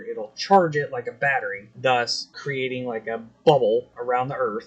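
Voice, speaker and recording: A man with an American accent hosting a video, explaining things casually to his viewers. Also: mid-range voice. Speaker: video host, CB radio hobbyist.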